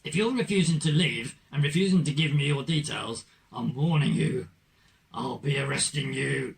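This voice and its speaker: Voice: medium pitch, normal speed, vocal fry, distant mic, natural voice, poor audio. A performer playing an officious, insistent police officer in a scripted puppet show.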